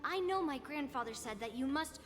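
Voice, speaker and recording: A woman playing a confident, commanding young girl warrior in an anime game, speaking in a measured, formal, self-assured way. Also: high-pitched, lively intonation, fairly bright tone. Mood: calm, encouraging. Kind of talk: scripted anime game voice acting. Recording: long reverb, game music behind.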